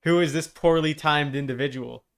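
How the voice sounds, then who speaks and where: A man chatting casually and rambling as he streams a video game, with an animated, amused manner. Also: on the high side, moderate pace, gravelly. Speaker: live streamer. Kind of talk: casual gaming stream chat. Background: video game music.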